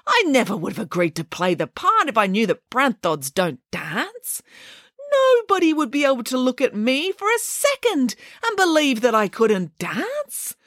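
A sensitive young bear who wails and drags out his words in high, trembling tones, voiced by a woman reading aloud. His pitch swings wildly as he complains. The sound is close and dry. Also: wildly swooping pitch, no room sound. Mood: dramatic. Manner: weepy, melodramatic, drawn-out. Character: emotional, theatrical young male bear actor.

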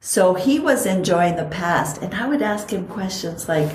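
A woman's voice: lowish voice, expressive, measured pace, roomy sound, slight background noise. A middle-aged woman from Costa Rica, co-hosting a podcast and telling stories in a reflective, chatty way. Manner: reflective storytelling. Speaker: podcast co-host. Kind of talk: casual chat.

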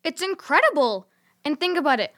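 A woman's voice: high voice, sing-song, brisk, sharp tone, full character voice. A woman playing an eager, adventurous child in a children's fantasy audio drama. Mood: amazed.

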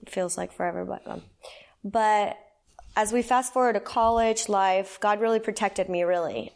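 A woman's voice: mid-range voice, moderate pace, vocal fry, darkish tone. Earnest woman sharing her faith story. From El Salvador. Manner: earnest and conversational, with many fillers. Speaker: guest sharing her testimony. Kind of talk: personal faith testimony.

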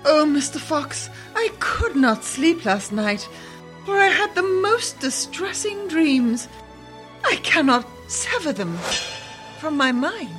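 A woman's voice: high-pitched, big pitch swings, slow, a bit bright, faint music behind, heavily acted. An actress playing a bold young bride, demure yet defiant, telling her tale in a theatrical fairy-tale radio drama. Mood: demurely distressed.

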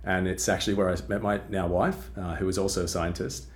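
A middle-aged man from Australia with an Australian accent softened by years in Britain, explaining research in a podcast interview with frequent fillers and restarts. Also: deep voice, little pitch movement, brisk. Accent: Australian with British influence. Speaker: guest scientist.

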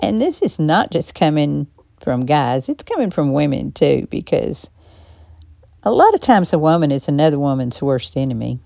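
A woman hosting her own podcast, a little hoarse today, sharing her thoughts in a calm, reflective monologue with frequent pauses and a non-native English accent. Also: low-pitched, no room sound, studio quality. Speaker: podcast host. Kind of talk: personal commentary monologue.

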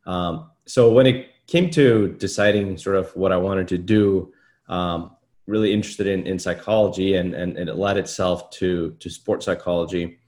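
A deep-voiced man from Iceland, English not his first language, talking as a guest in a relaxed interview. He speaks in a friendly, thoughtful way, pausing on fillers and restarting his sentences. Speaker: golf coach. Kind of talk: casual interview conversation.

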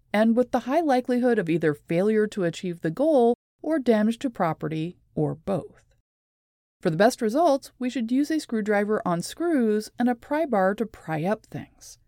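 Woman, mid-pitched, mellow tone, scripted narration, deliberate, articulate delivery. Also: no room sound, clean studio sound. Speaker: presenter. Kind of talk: scripted explanatory narration with read quotations.

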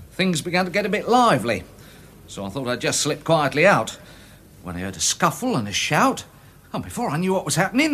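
A man's voice: sits high, a bit bright, slightly rough, heavily acted. An actor playing a respectable, embarrassed little Englishman giving evidence in a radio drama, prim, hesitant and stammering. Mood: flustered.

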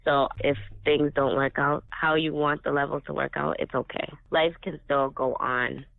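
A man's voice: fairly high pitch, flat delivery, normal speed, creaky, no echo. A man raised speaking Swahili as his first language, telling his story in an earnest, reflective casual chat. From Africa. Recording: tinny phone-like sound.

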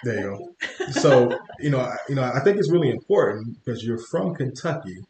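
A man's voice: medium pitch, lively intonation, fairly quick, darkish tone, roomy sound, a little hiss or hum. A man hosting a podcast interview in a friendly, casual way, leaning on "you know" as he talks. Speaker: podcast host. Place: podcast studio.

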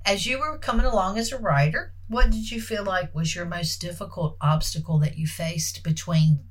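A woman's voice: on the low side, normal speed. A woman interviewer who is losing her voice, asking long, admiring questions in a casual way.

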